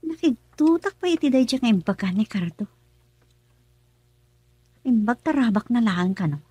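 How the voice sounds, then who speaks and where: Radio drama: actress as a plain-spoken Ilocano wife, a bit high, dry booth. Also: female voice, sing-song, normal speed, mellow, breathy edge, no room sound. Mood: earnest. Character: young wife worried about her family.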